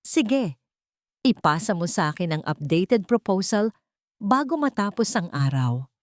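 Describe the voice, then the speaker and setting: A Filipino-accented woman voicing a protective, gentle businessman, an older brother, in an audio storybook. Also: mid-range voice, big pitch swings, unhurried, no echo, clean studio sound. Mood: composed. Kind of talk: dramatic audio storybook reading.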